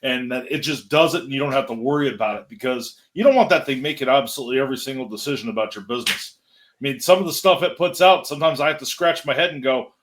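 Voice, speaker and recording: A man co-hosting a business podcast who talks loud and blunt, getting passionate about his point. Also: mid-range voice, fast talker. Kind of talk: casual podcast conversation.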